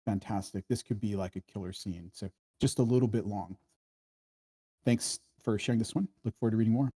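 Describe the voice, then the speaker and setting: A man's voice: lowish voice, fairly quick, warm tone, husky, no room sound. A man giving casual, concise feedback in a group discussion. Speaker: table read participant giving feedback. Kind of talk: casual critique.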